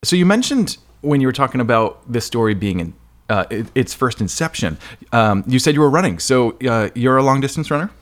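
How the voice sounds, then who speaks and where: A man's voice: medium pitch, big pitch swings, a little fast. A man hosting a podcast interview in a casual, informal way, thinking aloud with some hesitation. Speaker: podcast host interviewing an author.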